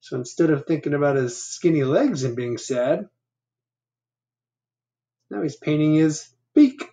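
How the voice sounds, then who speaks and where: A man's voice: a little high, expressive, a little slow, dark tone. A man in his fifties reading a picture book aloud to children, with simple, instructive, conversational asides. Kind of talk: read-aloud with explanatory asides. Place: small room at home.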